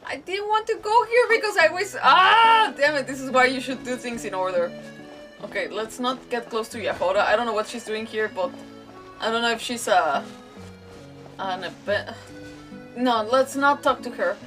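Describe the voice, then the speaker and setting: A woman's voice: fairly high pitch, moderate pace, pushed and bright, recorded from a distance. A woman streaming a game with casual commentary, thinking aloud hesitantly and repeating words. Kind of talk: casual live gameplay commentary. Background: game music.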